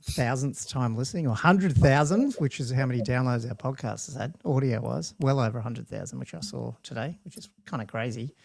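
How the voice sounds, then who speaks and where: A man's voice: mid-range voice, expressive, brisk, creaky, hardly any pauses, no echo. A man with an Australian accent, hosting a chatty tabletop gaming podcast in a rambling, laughing way. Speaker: podcast host. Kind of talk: casual podcast chat.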